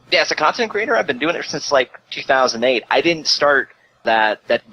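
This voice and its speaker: A man chatting casually as a guest on a podcast, telling stories in an animated way and often acting out quotes. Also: medium pitch, no echo, poor audio. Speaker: guest content creator and reviewer. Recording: hissy amateur online call audio.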